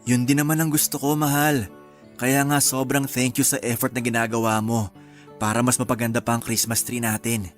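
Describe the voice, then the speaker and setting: A man's voice: medium pitch, little pitch movement, a little fast, soft background music, close to an everyday voice. A man playing an easygoing, agreeable young husband in a Filipino radio drama, with a Filipino accent. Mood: affectionate, approving.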